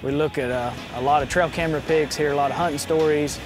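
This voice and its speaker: A man's voice: on the high side, fairly even intonation, quick pace, poor audio. A man, talking as a game warden in an outdoor interview. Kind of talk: interview talk about outdoor work. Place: outdoors by a parked truck. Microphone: lapel mic. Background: music behind the voice.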